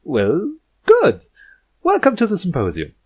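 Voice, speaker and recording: A man acts out an excitable young pony princess from a storybook. The voice is pushed up high and has a husky edge, with eager, courteous cheer. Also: unhurried, full character voice. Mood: strained cheer. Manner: vivacious, eagerly polite.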